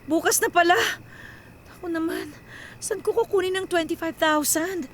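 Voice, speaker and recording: High-voiced, overworked young Filipino daughter. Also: female voice, fairly even intonation, moderate pace, slight background noise. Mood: stressed, worried. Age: young adult. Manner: talks to herself, code-switches into English. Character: overworked young breadwinner daughter, anxious, self-sacrificing. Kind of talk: radio drama acting.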